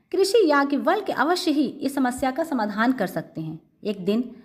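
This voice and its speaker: A woman narrating a scripted moral tale in an expressive, emphatic storytelling manner. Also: high-pitched.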